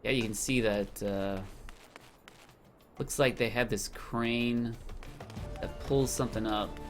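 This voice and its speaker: A man hosting a let's play, talking slowly and thinking aloud, often pausing on fillers as he explains what he sees. Clear game music plays underneath his voice. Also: mid-range voice, fairly even intonation. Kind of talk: casual gameplay commentary. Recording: game music playing behind the voice.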